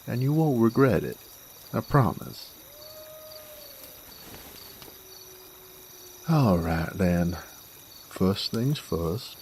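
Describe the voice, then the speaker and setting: Deep, slow-drawling Southern cowboy rogue, acted by a man. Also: a little mellow, slight background noise, heavily acted. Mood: sly, flirtatious. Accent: American Southern. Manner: drawling, folksy, teasing. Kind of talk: scripted roleplay audio drama.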